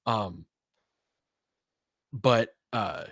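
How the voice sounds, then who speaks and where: A man's voice: fairly low pitch, drawn-out words, fairly bright tone, breathy edge, no echo, studio quality. A man giving casual, conversational basketball play-by-play and analysis, with short punchy calls and slangy language.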